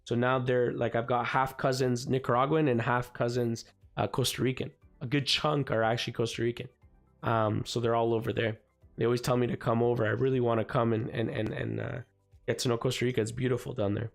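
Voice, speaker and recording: Slightly raspy man hosting a trading livestream. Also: medium pitch, little pitch movement, brisk. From Canada. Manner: casual, filler-heavy, repeats "guys" and "you know what I mean". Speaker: forex trading livestream host. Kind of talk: live market commentary. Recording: faint music behind.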